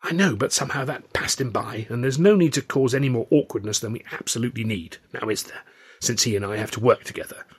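A man's voice: mid-range voice, fast, breathy edge, lightly acted. A man voicing a polite, self-important English comedian who is condescending and evasive, in an audiobook. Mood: flustered, urgent.

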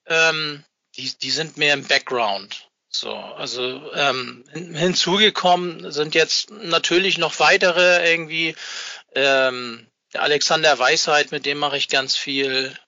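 A middle-aged man with a very bright, forward voice talks casually in an interview. He hesitates often as he gathers his words. The sound is dry and slightly dull. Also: fairly high pitch, no room sound. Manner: halting, hesitant, searching for words. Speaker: interview guest, fan magazine maker.

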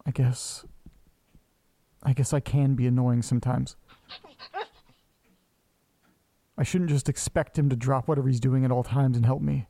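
An actor playing an anxious, lonely, self-doubting man who talks haltingly to himself in a horror audio drama. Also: medium pitch, steady tone, vocal fry, warm tone, no echo, natural voice. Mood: brooding, dejected. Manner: halting, muttering, talking to himself. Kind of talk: audio drama monologue, naturalistic acting.

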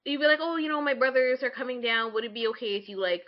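A woman's voice: on the high side, quick pace, lo-fi sound. A woman co-hosting a podcast in casual, opinionated chat, blunt and informal, acting out imagined quotes. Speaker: podcast co-host.

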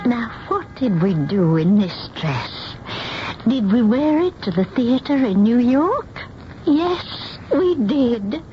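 An actress playing a fragile, childlike older small-town spinster in a radio drama, speaking in a hesitant, stammering, wistful way. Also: female voice, a little high, big pitch swings, takes it slowly. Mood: dreamy pride. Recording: muffled noisy 1970s radio drama recording.

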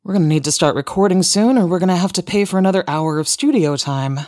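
A woman's voice: lowish voice, fast talker, darkish tone, no echo, studio quality, lightly acted. An actress playing a practical, dismissive woman podcaster with an American accent, casual and matter-of-fact in a naturalistic audio drama. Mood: impatient.

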